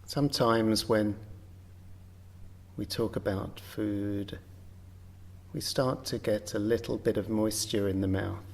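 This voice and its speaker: A man leading a guided yoga nidra meditation, speaking gently in short phrases with long, unhurried pauses. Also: fairly low pitch, fairly even intonation, creaky. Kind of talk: guided meditation, slow spoken relaxation instruction.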